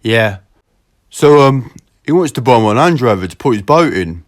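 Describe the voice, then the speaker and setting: A man's voice: mid-range voice, animated, moderate pace. A man with a southeast England accent chatting casually in slangy, sweary language on a recorded telephone call. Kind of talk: casual phone chat.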